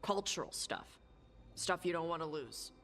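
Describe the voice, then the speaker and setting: A woman playing a blunt, laconic young dragon hunter and treasure seeker in a video game, talking gruffly and informally. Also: sits low, expressive, unhurried, a bit bright, a little hiss or hum. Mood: earnest, plain. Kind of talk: video game dialogue acting. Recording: music under the dialogue. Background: game music.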